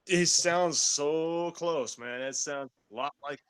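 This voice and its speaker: A man's voice: a little high, slow, fairly bright tone, clear, resonant tone, no echo. Man in a dry, close-sounding chat. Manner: repeats words when starting sentences. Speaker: panelist. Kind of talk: casual call-in chat.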